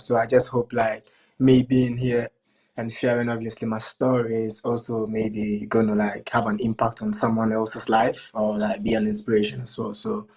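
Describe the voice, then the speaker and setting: A man's voice: on the low side, a little mellow, rough recording. Laid-back young man from Ghana. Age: 20s. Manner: laid-back, slangy, many "like" and "bro" fillers. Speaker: guest. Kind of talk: casual personal story.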